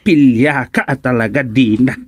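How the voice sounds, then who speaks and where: A man playing a bashful village councilor in an Ilocano radio comedy, with an Ilocano accent and a halting, chuckling delivery. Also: medium pitch, a little hiss or hum, lightly acted. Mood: bashful, amused. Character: laughing, bashful village councilor, giddy about marrying. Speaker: actor in radio comedy drama. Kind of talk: Filipino radio drama comedy acting.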